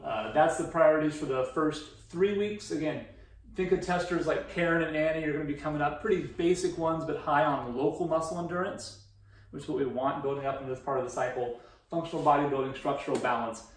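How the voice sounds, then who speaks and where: A man in his thirties giving an informal, explanatory presentation as a fitness coach in a conversational way. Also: mid-range voice. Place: indoor room beside a presentation screen. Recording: roomy echo, distant microphone.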